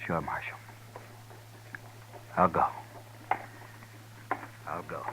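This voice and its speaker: An actor playing an anxious small-town banker who fears ruin, pleading and fretful, in a 1950s radio drama. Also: male voice, rumbling low, steady tone, extremely slow, bright, forceful tone, exaggerated voice. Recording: noisy old radio broadcast.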